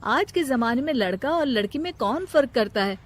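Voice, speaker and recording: A woman's voice: sits high, expressive, moderate pace. A woman voicing a frustrated, principled adult son in a cartoon moral story. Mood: reproachful. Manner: firm, reproachful.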